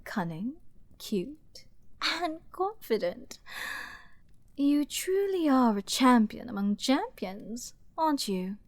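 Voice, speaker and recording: A woman playing an arrogant, flirty princess with a dark, mocking edge in a dramatic fantasy ASMR roleplay, teasing and often murmuring. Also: sits high, sing-song, no echo, exaggerated voice. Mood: teasing, scheming. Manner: haughty, teasing, deliberate, often dropping to breathy murmurs.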